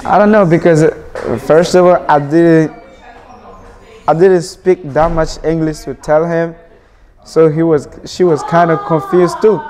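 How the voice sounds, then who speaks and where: A man's voice: a little high, fairly even intonation, a little slow, darkish tone. A teenage man from Burkina Faso, an immigrant student, answering interview questions earnestly, often restarting his sentences.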